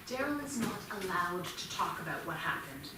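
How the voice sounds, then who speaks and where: A woman's voice: on the low side, big pitch swings, moderate pace. A woman in a staged play reading playing a protective, wary mother, guarded, clipped and challenging. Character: protective, wary mother of accused teenage student. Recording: echoey theatre, distant mic, noisy.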